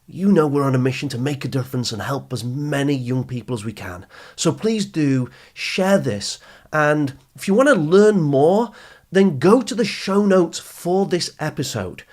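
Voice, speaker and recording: Husky, higher-pitched man hosting a podcast. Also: animated, moderate pace, darkish tone. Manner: encouraging, instructional, emphatic phrasing. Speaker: podcast host and education speaker. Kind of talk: scripted podcast narration, addressing listeners directly.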